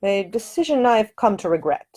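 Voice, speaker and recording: A woman's voice: medium pitch, sing-song, normal speed, a little mellow, pitched above the performer's own voice. A woman voicing a gallant young lord in an audiobook, protective and courtly in speech. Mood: tender, rueful.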